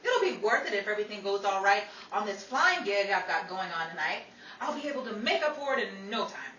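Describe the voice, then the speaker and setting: A woman's voice: medium pitch, expressive, brisk, sharp tone. A woman playing a scatterbrained, defiant young Hollywood hopeful in 1930s radio comedy, breezy and wisecracking. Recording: echoey room, distant mic, noisy audience.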